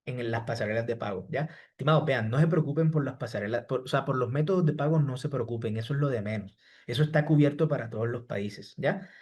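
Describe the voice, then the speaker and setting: A man's voice: mid-range voice, fast, a little mellow, husky. Man answering a live class chat. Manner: repeats "¿sí?" and "estimados" after each point; reads out chat questions and answers students by name. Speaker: online course instructor.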